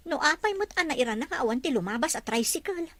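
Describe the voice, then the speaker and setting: A woman's voice: sits high, expressive, fast talker, a bit bright, dry recording. A woman playing a dutiful, polite young wife and mother in a radio drama. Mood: polite, concerned.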